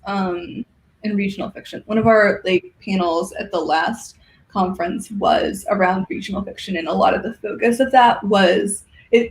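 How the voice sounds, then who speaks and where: An interview guest talking casually and thoughtfully, in a halting way, with a roomy echo. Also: mid-range voice, a little hiss or hum. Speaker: guest, organizer of a fiction podcast and symposium. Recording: roomy, echoey sound.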